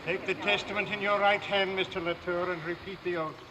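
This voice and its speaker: A man's voice: high voice, fairly even intonation, fairly quick, crowd noise, natural voice, low-quality recording. A man playing an impassive court clerk, declaiming official formulas in a radio courtroom drama. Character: impassive male court clerk formally reading out charges.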